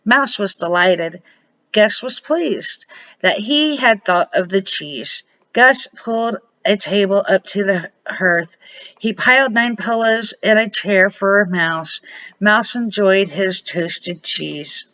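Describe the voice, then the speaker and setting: Bright-voiced grandmother reading a storybook. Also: medium pitch, expressive, slow. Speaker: grandmother reading to children, series host. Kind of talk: read-aloud children's storybook narration. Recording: dull lo-fi home recording.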